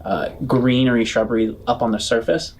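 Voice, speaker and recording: A man acting as game master, improvising scene descriptions in a halting, descriptive way. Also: medium pitch, little pitch movement, breathy edge. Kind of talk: improvised tabletop game narration.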